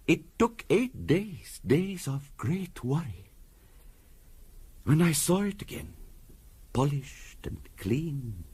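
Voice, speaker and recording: An actor playing an earnest, formal spiritualist medium in a 1970s radio drama, measured and insistent in delivery. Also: male voice, a little high, husky, full character voice. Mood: grave, intense. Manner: measured, formal, insistent, sometimes hushed and hypnotic.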